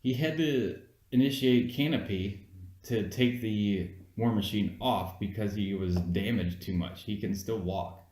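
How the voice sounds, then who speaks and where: A man's voice: fairly low pitch, a little slow, a little mellow. A man co-hosting an amateur movie review in casual, argumentative chat, insistently explaining his points. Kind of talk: casual argumentative film chat between friends. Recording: echoey room.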